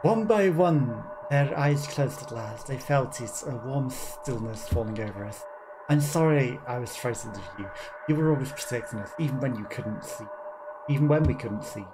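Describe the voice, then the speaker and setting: A man voicing an eerie, sorrowful ghost that croons gently to children in a slow, hushed lament, as video game lore. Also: medium pitch, animated, close to an everyday voice, low-quality recording. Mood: mournful, gentle. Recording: game music behind.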